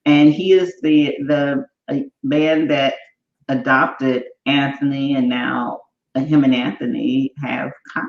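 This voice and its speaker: A woman's voice: a bass voice, steady tone, slow pace, vocal fry. A woman co-hosting a show in a bubbly, effusive way, chatting casually and using lots of fillers. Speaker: show co-host. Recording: echoey, dull room audio.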